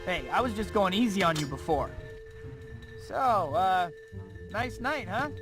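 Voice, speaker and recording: Earnest, high-pitched young superhero. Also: male voice, expressive, a little slow, music in the background. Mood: awkward. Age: young adult. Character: earnest, wisecracking young male superhero, awkward. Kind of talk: video game acting, casual.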